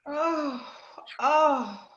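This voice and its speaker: A woman playing an excitable, credulous widow with melodramatic delivery in an old-time radio drama, on muffled call audio. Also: sits high, extremely slow. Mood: shaken. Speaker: amateur radio drama player.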